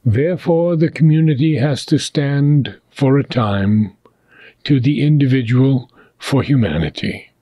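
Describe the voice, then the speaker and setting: A man reading a philosophy book aloud, in deliberate short phrases with long pauses between them. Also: mid-range voice, mellow, dry recording, highs cut off.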